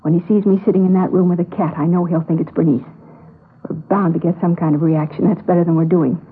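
A woman's voice: on the low side, steady tone, fast, breathy edge, phone-quality sound. An actress playing a determined woman in a 1950s radio crime drama, speaking earnestly and insistently. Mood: insistent, confident. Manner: earnest, urgent. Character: determined, insistent woman, twin of missing wife. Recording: thin, band-limited old radio broadcast.

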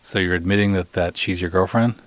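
Very deep-voiced man hosting casually. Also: fairly even intonation, brisk, no echo. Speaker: podcast host and interviewer. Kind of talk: casual hosting and light interview.

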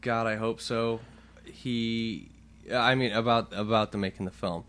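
A man co-hosting a casual podcast, talking louder than his partner and joking with plenty of swearing. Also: medium pitch, fairly even intonation, unhurried. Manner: louder, leans into the mic, joking and profane. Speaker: podcast co-host. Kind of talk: casual chat.

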